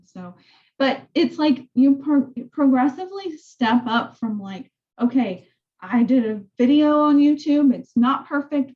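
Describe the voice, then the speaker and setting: A woman with a soft voice, casually walking her real estate team through training tools on a call. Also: a little high, phone-quality sound. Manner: chatty, rambling explanations. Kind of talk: casual team meeting walkthrough.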